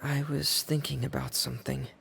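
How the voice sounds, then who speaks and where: An actor playing a withdrawn, gentle teenage boy in a video game, speaking quietly and hesitantly. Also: medium pitch, little pitch movement, normal speed, gravelly, a little hiss or hum. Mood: hesitant, pensive. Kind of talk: video game character acting.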